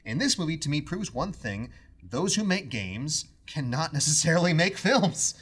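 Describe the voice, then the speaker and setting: A man's voice: a little high, sing-song, normal speed, fairly bright tone, slightly rough. A man co-hosting a podcast in casual chat, giving opinionated commentary with an exasperated, sarcastic edge. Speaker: podcast co-host.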